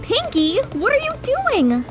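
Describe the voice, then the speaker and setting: A woman plays a sensible young mare from a cartoon fan story, bewildered and exasperated. Her voice is very high and drawn out, with lively swings, over clear music. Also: unhurried, heavily acted. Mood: startled. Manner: questioning, exasperated. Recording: music behind the voice.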